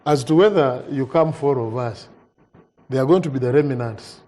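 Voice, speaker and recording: A man, a member of parliament in a TV panel debate, speaking haltingly with repeated words and asides. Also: medium pitch, dark tone. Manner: halting, repeats words, asks "you understand?".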